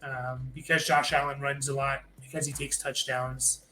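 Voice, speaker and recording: A man's voice: medium pitch, little pitch movement, normal speed, pushed and bright, faint music behind. A man hosting a fantasy football show in a casual, conversational sports rankings style.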